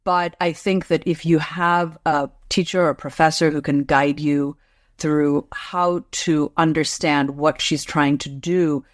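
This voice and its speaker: A woman's voice: low-pitched, fairly even intonation, dry recording, clean studio sound. A middle-aged woman, a literature professor, answering interview questions in a careful, explanatory way. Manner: measured, explanatory, careful restarts.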